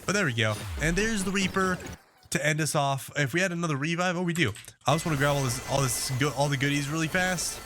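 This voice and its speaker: Congested rambling gaming host. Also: male voice, on the high side, fast talker, background noise. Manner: rambling, many fillers and restarts. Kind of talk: casual gameplay commentary.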